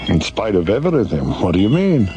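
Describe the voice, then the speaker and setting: An actor playing a courteous, brooding mortician villain in an audio drama, speaking in a florid, measured, theatrical way. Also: male voice, lowish voice, sing-song, dark tone, faint music behind. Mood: brooding, lofty.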